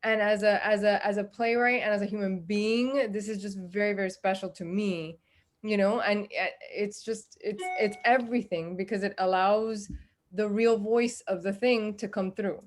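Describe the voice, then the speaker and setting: A woman's voice: medium pitch, steady tone, normal speed. A woman, a playwright on a panel, talking casually and from the heart in effusive, often restarted phrases.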